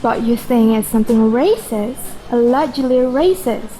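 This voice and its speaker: Woman playing a wry, high-voiced wife. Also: normal speed, mellow, close to an everyday voice, poor audio. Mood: concerned, probing. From Indonesia. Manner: wry, probing. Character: questioning, teasing wife, fair-minded and wry. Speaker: actor in a student radio drama. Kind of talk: radio drama acting.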